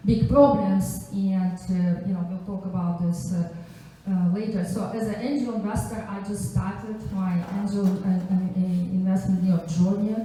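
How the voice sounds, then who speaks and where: Woman, Russian accent, mellow tone, chatty and halting, informal panel introduction, heavy room echo. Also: mid-range voice, steady tone. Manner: chatty, halting, with frequent asides. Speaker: panelist, angel investor and economist. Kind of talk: informal self-introduction to a live audience. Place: echoey indoor event venue. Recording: very echoey room, distant microphone.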